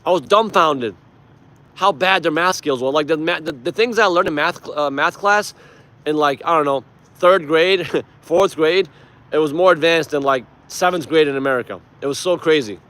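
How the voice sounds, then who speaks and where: A man's voice: a little high, moderate pace, fairly bright tone. A man from Iran, a native Persian speaker, hosting a casual livestream and giving emphatic, informal political commentary with some swearing. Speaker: live stream host.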